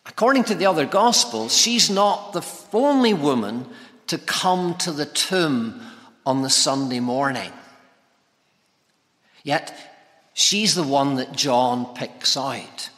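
A man delivers a sermon in a bright, forceful voice pitched a little high. He phrases things deliberately and with emphasis, and his words ring with the long reverb of a hall. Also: animated. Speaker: preacher. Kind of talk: expository sermon. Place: large reverberant church or hall.

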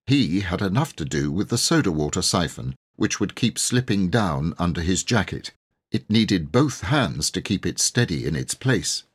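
Deep, husky, expressive male storyteller. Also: normal speed. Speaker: audiobook reader. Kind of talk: expressive scripted story narration.

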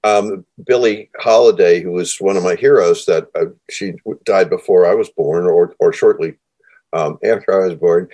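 Rambling, enthusiastic low-voiced man. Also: darkish tone. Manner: enthusiastic, rambling, many fillers and restarts. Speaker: interview host. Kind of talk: conversational interview.

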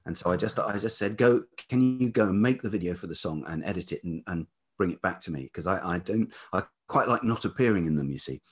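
Middle-aged male, deep velvety voice with some huskiness, hesitant reflective storyteller in relaxed interview conversation. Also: breathy edge, soft background music. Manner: hesitant, halting, circling back. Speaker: podcast guest, writer and musician.

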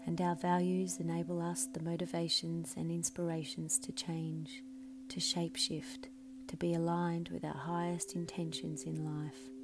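A woman leading a scripted guided meditation in a soothing, measured way, her phrases often softening into breathiness. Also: lowish voice. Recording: clearly audible background hum and noise.

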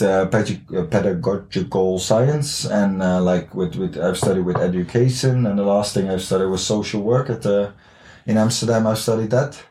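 Mellow young Dutch man in a roomy space. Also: fairly low pitch. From the Netherlands. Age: late 20s. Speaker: podcast guest, gym founder. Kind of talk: casual interview chat.

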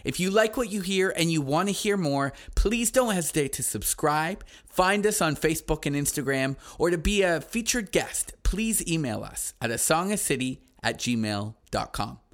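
A man's voice: fairly high pitch, lively intonation, normal speed, hardly any pauses. A man hosting a podcast, chatting in an upbeat, enthusiastic way with his guest. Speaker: podcast host. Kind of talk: casual interview chat.

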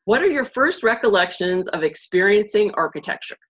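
A middle-aged woman from the United States hosting an interview, chatty and loose, full of "you know" and "like", and quick to laugh. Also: mid-range voice, sing-song. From Pittsburgh area, United States. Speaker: interviewer, host. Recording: dull, muffled lo-fi line.

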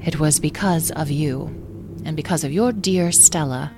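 A woman voicing a tiny, formal fairy who speaks in precise, elaborate phrases, with soft music behind. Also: deep voice, unhurried. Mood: commanding.

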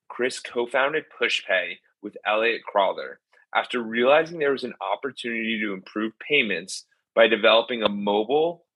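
A man's voice: on the low side, pushed and bright, breathy edge, no room sound, poor audio. A man hosting a podcast, reading an upbeat scripted intro and then asking interview questions. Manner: upbeat, promotional. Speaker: podcast host.

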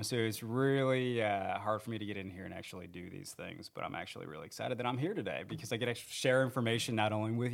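Guest man, lowish gravelly voice, earnest rambling podcast talk. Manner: rambling, self-correcting, earnest. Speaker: training manager. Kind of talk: casual podcast interview. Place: podcast studio. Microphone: handheld studio mic.

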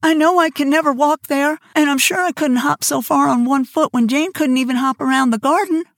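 A woman's voice: sits high, brisk, no echo, clean studio sound, voice pushed up. A woman voicing a dramatic, imaginative young girl who speaks in earnest, theatrical phrases. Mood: pained.

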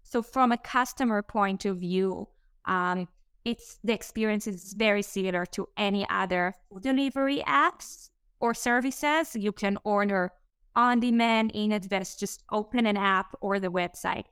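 Israeli-accented woman with a clear voice. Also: mid-range voice, fairly bright tone, no room sound, studio quality. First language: Hebrew. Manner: fluent but halting, many "um" fillers. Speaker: startup co-founder guest. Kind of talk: podcast interview answers.

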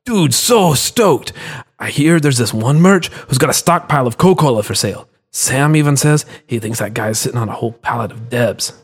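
A man voicing an excitable young male watchman who talks in eager slang. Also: mid-range voice, slow pace. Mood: excited.

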